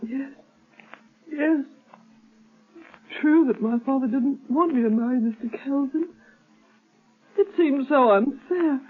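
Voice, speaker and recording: A young grieving daughter from a vintage radio play, hesitant and plaintive, high-pitched, with faint music underneath. The sound is narrow and tinny, like an old broadcast. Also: female voice, slow, long pauses. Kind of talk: 1940s radio acting.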